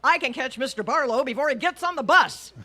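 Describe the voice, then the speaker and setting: A woman playing a wry, quick-witted schoolteacher in an old-time radio comedy, with dry, deadpan delivery. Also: high voice, slight background noise. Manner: dry, sardonic, deadpan wisecracks.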